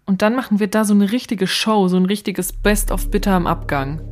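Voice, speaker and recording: A woman's voice: mid-range voice, brisk, faint music behind. A woman hosting a podcast, speaking in playful, colloquial, lightly acted banter. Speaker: podcast host.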